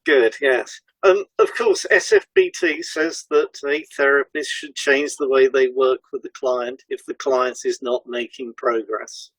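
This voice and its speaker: Slightly husky man, dry sound. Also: mid-range voice, unhurried, few pauses, fairly bright tone, no echo, low-quality recording. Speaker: podcast co-host and hypnotherapist. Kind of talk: scripted podcast dialogue, explaining.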